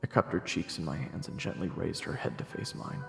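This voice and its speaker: A man voices a brooding fantasy storyteller in first person. His low, gravelly voice is grave and measured. It rings with long reverb in an audio drama narration. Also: expressive, heavily acted. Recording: reverberant echo, music underneath.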